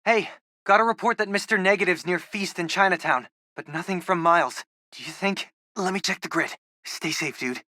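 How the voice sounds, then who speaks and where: A man with an American accent voicing a geeky, excitable teenage boy, a loyal superhero's tech sidekick in a video game, talking informally and eagerly. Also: sits high, normal speed, no echo, clean studio sound. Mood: worried, urgent. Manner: informal, eager, nerdy tech talk with quips. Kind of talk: video game voice acting, casual radio-style chatter.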